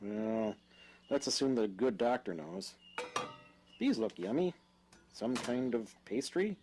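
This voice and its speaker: A man's voice: medium pitch, lively intonation, slow pace, creaky, warm tone, a little hiss or hum. A man playing a practical, wry young houseguest in an audio drama, with a dry conversational manner. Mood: casual, dry.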